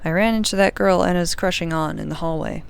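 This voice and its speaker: A woman playing a sardonic, frustrated young spaceship crew member recording a personal log in an audio drama, with a dry, sweary, grumbling manner and a creaky voice. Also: lowish voice, a little fast.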